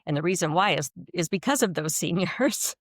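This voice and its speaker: A woman's voice: lowish voice, animated, normal speed, dry recording, clean studio sound. A middle-aged American woman giving conversational, explanatory answers as an interview guest, speaking earnestly. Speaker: nonprofit executive director, podcast guest.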